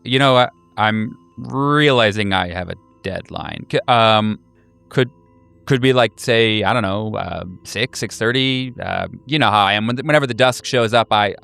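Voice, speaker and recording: A man's voice: fairly low pitch, moderate pace, creaky, a bit bright, smooth, soft background music. A man voicing an eager, scatterbrained night-owl friend who rambles and stammers in a tabletop game. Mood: sheepish, eager.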